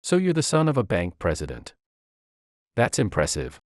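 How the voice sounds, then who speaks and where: A man's voice: lowish voice, huge pitch swings, normal speed, a little mellow, dry recording, studio quality. A man voicing a cheerful, innocent and forthright little girl who is proud of her father in a narrated story. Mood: innocently proud.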